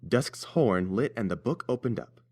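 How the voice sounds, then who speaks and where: A man's voice: mid-range voice, expressive, moderate pace, darkish tone. A man narrating a scripted story as its reader.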